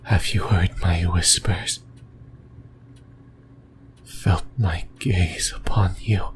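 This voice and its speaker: A man playing an obsessive, lonely sleep paralysis demon in a breathy, intimate whisper for an ASMR horror roleplay, hesitant and rambling. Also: sits low, steady tone, drawn-out words, noisy background. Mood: yearning, possessive.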